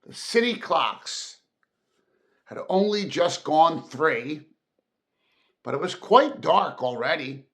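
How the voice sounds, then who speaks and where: A man reading a classic story aloud as narrator, with dramatic, expressive and emphatic phrasing. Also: fairly high pitch, takes it slowly, pauses often. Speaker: audiobook narrator.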